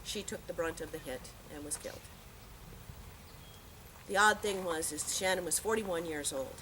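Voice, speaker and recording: A woman's voice: lowish voice, normal speed, sharp tone. A woman giving a heartfelt, plain-spoken eulogy to a gathering outdoors, telling stories as she goes. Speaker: eulogy speaker at a memorial. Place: outdoor park memorial gathering. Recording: noisy outdoor park ambience.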